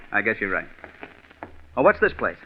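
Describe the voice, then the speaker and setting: An actor playing a bitter, murder-minded scriptwriter in a 1940s radio drama, speaking intensely. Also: male voice, lowish voice, sing-song, normal speed, a little hiss or hum. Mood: casually probing. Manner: intense, brooding, stammers when agitated. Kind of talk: 1940s radio drama acting and first-person narration. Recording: tinny 1940s radio recording with music.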